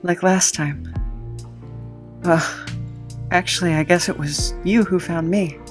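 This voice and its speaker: A man's voice: sits high, fairly even intonation, takes it slowly, soft background music. A man playing a weary, drifting young adventurer in an audio drama, hesitant and resigned. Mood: wistful, resigned.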